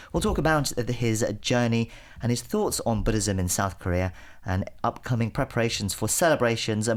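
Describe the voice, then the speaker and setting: A man hosting a radio interview in a measured way, with frequent fillers and short acknowledgements. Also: lowish voice.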